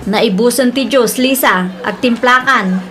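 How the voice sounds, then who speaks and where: A high, sharp-tongued female supervisor with an Ilocano accent, acted by a woman. She scolds impatiently and sounds exasperated, as a comedy-drama figure. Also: a little slow, over music, lightly acted. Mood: irritated scolding. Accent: Filipino, Ilocano.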